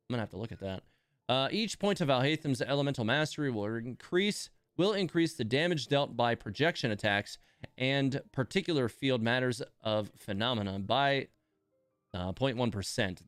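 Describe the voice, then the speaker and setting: A man's voice: medium pitch, a bit bright, no echo, clean studio sound. A man hosting a casual gaming livestream, thinking aloud as he reads and comments on game details. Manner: thinking aloud, reading game text with asides. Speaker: livestream host.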